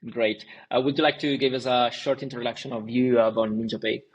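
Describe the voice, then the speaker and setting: A man's voice: mid-range voice, little pitch movement, a little fast, breathy edge, lo-fi sound. A man hosting a podcast, welcoming his guest and reacting with short murmurs and small laughs. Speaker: podcast host. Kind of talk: interviewer, brief reactions.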